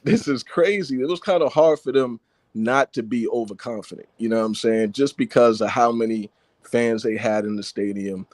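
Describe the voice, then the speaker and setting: A man calling in to a sports show, chatting in a relaxed way. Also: medium pitch, moderate pace, dry recording. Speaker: caller. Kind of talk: casual sports talk.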